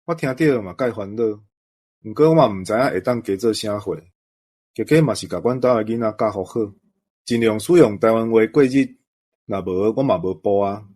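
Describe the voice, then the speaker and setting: A man's voice: fairly low pitch, brisk, darkish tone. A man with a Taiwanese accent talking conversationally as a co-host. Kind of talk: conversational discussion.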